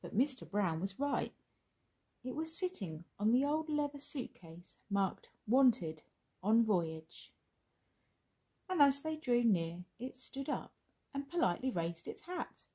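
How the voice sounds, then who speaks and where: Woman reading a kids book out loud, expressive, slow, dark soft tone. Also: on the high side, breathy edge. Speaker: storyteller. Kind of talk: expressive children's story narration.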